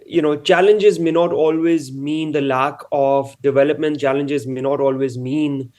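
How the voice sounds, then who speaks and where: A man's voice: fairly high pitch, steady tone, a little slow, a little mellow. A man from Pakistan giving a conference talk over a video call, earnest and prone to repeating words as he builds his points. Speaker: panel speaker.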